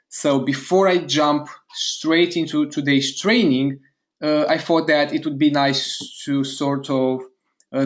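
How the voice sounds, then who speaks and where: A man from Romania hosting a live online sales webinar, upbeat and eager, talking straight to his chat audience and repeating words in quick bursts of praise. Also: mid-range voice. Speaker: webinar host.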